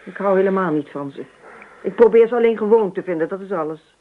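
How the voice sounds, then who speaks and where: An actress in a Dutch radio play voicing a dreamy, unruffled wife with an airy, gently teasing, evasive manner. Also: female voice, mid-range voice, fast talker, lightly acted. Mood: playful. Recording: dull, lo-fi radio play recording.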